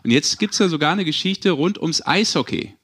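A man's voice: fairly high pitch, animated, normal speed, a bit bright, slightly rough. A man hosting a sports podcast, chatting casually in a rambling, colloquial way.

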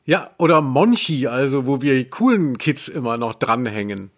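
A man's voice: mid-range voice, expressive, moderate pace. A man co-hosting a podcast, talking casually and informally with a playful, teasing streak. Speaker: podcast host.

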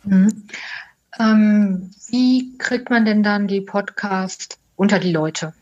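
A woman's voice: medium pitch, steady tone, slow pace, darkish tone. A woman working as an interviewer, putting questions in a conversational way, on a dull lo-fi recording.

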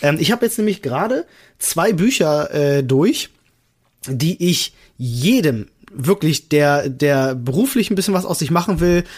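A man's voice: fairly high pitch, expressive, unhurried, slightly rough. A man co-hosting a podcast in casual chat, talking enthusiastically and leaning on emphatic, repeated intensifiers. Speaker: podcast co-host.

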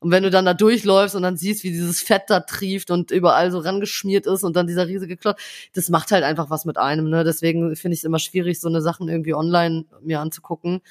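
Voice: medium pitch, little pitch movement, quick pace. Casual co-host, young adult, talks in loose rambling hedges with a mid-range voice. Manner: rambling, hedging, tag questions. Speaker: podcast co-host. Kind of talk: casual chat.

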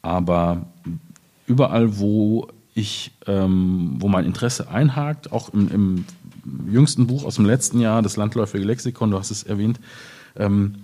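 A man's voice: lowish voice, measured pace, mellow. A man speaking as a poet in a spontaneous literary conversation, reflective and often searching for his words. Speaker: poet in discussion.

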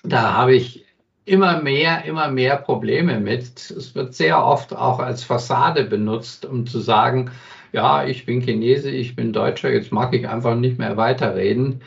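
A man with a gravelly voice explains his views as an interview guest, pausing often to search for words. He sounds set back from the microphone. The recording is a little dull. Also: mid-range voice. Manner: thoughtful, frequent hesitation fillers and restarts. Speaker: industry consultant as interview guest. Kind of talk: conversational interview answers. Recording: dull sound from some distance.

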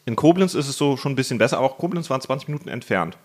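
A man's voice: medium pitch, lively intonation, very fast. A man from Berlin, in his thirties, a guest chatting casually and talkatively on a video podcast, laughing easily. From Berlin, Germany. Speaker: guest, cooking YouTuber. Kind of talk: casual podcast chat over food. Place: indoor studio, video podcast.

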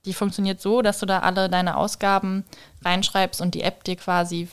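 A woman's voice: medium pitch, little pitch movement, normal speed, clear, resonant tone. A woman talking informally as a guest on a podcast. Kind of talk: casual chat.

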